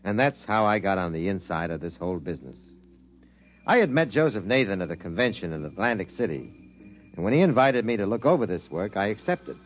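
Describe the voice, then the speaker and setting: An actor playing a wry, seasoned newspaper reporter who narrates in a dry, conversational 1950s radio drama manner. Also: male voice, fairly low pitch, very animated, brisk, a little hiss or hum, low-quality recording. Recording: vintage 1950s radio broadcast.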